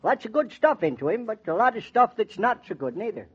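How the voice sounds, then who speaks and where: An actor playing a kindly old rustic neighbour with a rural American accent and folksy, rambling speech in 1940s radio drama style. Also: male voice, sits high, very animated, fairly quick, breathy edge, full character voice. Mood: puzzled. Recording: dull 1940s radio recording.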